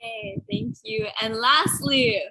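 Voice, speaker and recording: A woman's voice: high-pitched, sing-song, takes it slowly, gravelly, pushed and bright. A young woman hosting a casual student podcast over a video call, upbeat and chatty. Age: young adult. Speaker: podcast host. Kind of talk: casual chat. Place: bedroom, indoors. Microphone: earphone mic on a video call.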